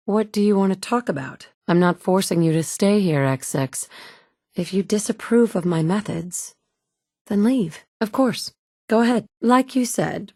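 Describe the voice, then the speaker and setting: A woman's voice: fairly low pitch, mellow, dry recording, studio quality. An actress voicing a tough, blunt woman survivor, the player character in a game, who is curt and assertive. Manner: curt and assertive, quick to snap back. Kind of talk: game voice lines.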